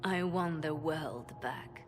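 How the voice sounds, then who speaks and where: An actress playing a grim, resolute and devout warrior archer in a fantasy video game, solemn and urgent. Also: female voice, deep voice, takes it slowly. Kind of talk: dramatic video game acting. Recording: loud music under the voice.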